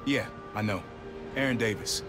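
A man's voice: lowish voice, normal speed, music in the background, lightly acted. A man playing a brusque, guarded, streetwise worker in a video game. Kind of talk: naturalistic video game acting.

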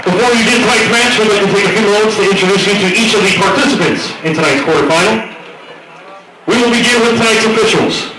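A man's voice: high voice, fast talker, bright, forceful tone, far from the mic. An American man working as a stadium public-address announcer, reading formal introductions in a projected voice and stretching out names for emphasis. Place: outdoor stadium at night, over loudspeakers. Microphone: PA microphone, picked up from far off. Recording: echoey stadium PA from afar, crowd noise. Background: crowd and stadium noise.

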